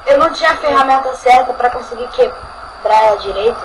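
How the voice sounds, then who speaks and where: A woman's voice: a little high, a little fast, noisy background. A woman with a Brazilian Portuguese accent voicing a father in an improvised Minecraft roleplay, with a bossy, playful parental tone.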